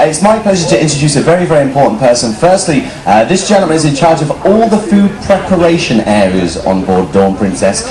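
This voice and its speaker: A jovial man with a showman's manner presenting live on stage as a cruise host and interviewing crew. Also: mid-range voice. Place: large ship venue, on stage. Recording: echoey hall with loud noise and crowd.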